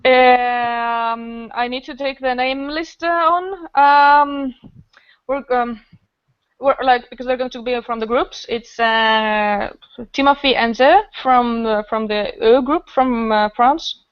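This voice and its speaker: Woman, smooth clear voice, enthusiastic, casual podcast talk, dull lo-fi recording. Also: a little high, steady tone, slow, dry recording. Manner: enthusiastic, rambling. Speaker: festival organiser, podcast guest.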